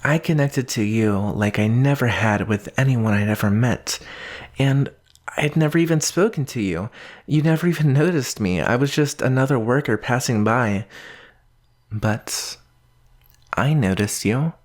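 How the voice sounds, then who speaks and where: A man acts out an obsessive young male stalker for an ASMR roleplay. He speaks very intimately at an unhurried, halting pace in a mid-pitched voice. His devotion is tender yet possessive, with quiet menace underneath. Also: close to an everyday voice. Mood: infatuated, possessive. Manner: slow, halting, breathy murmurs, nervous laughs.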